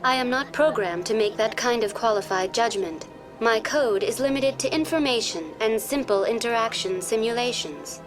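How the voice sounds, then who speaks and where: A woman's voice: fairly high pitch, lively intonation, moderate pace, over music, natural voice. A woman voicing a polite, programmed virtual intelligence tour guide in a video game, speaking formally and precisely. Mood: polite, informative. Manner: formal, courteous, precisely worded. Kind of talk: scripted informational video game dialogue.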